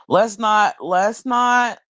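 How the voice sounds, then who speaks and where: A woman hosting a late-night livestream, talking bluntly and profanely, musing slowly with many restarts while high. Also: a bit bright, no echo. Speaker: livestream host. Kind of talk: late-night casual commentary. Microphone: streaming mic.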